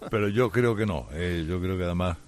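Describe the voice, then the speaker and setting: A man from Seville hosts the interview with a very low voice. He is chatty and warm with his guest and heaps praise on him. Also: a little fast. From Seville, Spain. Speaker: host, interviewer. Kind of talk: radio interview.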